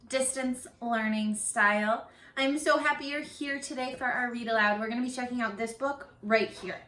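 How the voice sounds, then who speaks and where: Bright, high-voiced woman teaching young children. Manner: expressive, encouraging, addressing young children. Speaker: elementary school teacher. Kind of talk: children's read-aloud lesson with questions. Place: living room at home. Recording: roomy, echoey home video.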